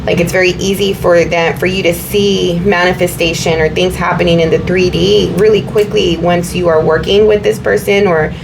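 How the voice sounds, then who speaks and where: A slightly hoarse woman with a fairly low voice talks her viewers through a tarot reading, wandering and repeating herself as she goes. Steady background noise sits clearly under her voice. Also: normal speed, few pauses. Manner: meandering, repeats herself, many fillers. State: slightly hoarse, recovering from illness. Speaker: tarot reader.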